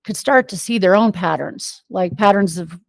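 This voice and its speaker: A woman's voice: mid-range voice, moderate pace, vocal fry, dry recording. An American woman being interviewed, reflecting on personal experiences and thinking aloud in loose, run-on sentences. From United States, Venezuela and Argentina. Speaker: interview guest.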